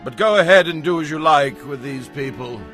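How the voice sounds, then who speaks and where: A man's voice: on the high side, a little fast, fairly bright tone, soft background music, lightly acted. An actor playing a commanding, indulgent ancient king in a dramatized audio Bible, with a regal manner. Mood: offhand command.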